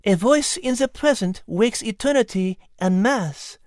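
A narrator puts on a knowing, affectionate French female cat from a children's audiobook. The voice is pitched far up, with a French accent and a lively lilt. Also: unhurried, no room sound, studio quality, close to an everyday voice. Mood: thoughtful.

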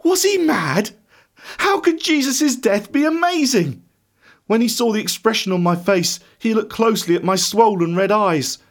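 A man playing a bitter, grief-stricken disciple in a dramatic first-person monologue, emotional and theatrical, swinging from hushed to shouting. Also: high-pitched, fairly even intonation, a little fast, few pauses, natural voice. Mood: astonished. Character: anguished, bitter doubting male disciple recounting his grief.

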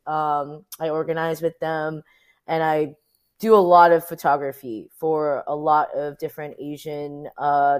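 Woman, American accent, low pitch, casual conversational interview, informal, thinking aloud. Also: darkish tone. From Orange County, United States. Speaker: photographer being interviewed.

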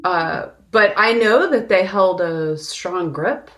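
A woman with a somewhat low-pitched voice co-hosts a film podcast. She talks in an informal, conversational way, casually sharing her thoughts in everyday phrasing. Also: slow pace. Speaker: podcast co-host.

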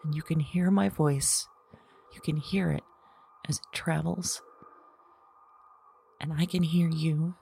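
A woman's voice: low-pitched, fairly even intonation, takes it slowly, gravelly, long pauses, dark tone, a little hiss or hum, heavily acted. A woman playing a frightened, whispering woman. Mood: hushed. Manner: whispering, repeating herself. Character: frightened woman on the edge of breaking. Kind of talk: audio drama monologue.

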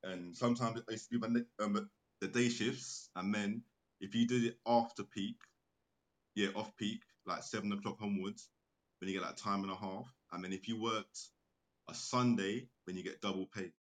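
A man talking as a guest on a video call, relaxed and rambling, often agreeing. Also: on the low side, moderate pace. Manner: relaxed, affirming, rambling with fillers. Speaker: guest property investor. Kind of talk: casual online interview chat.

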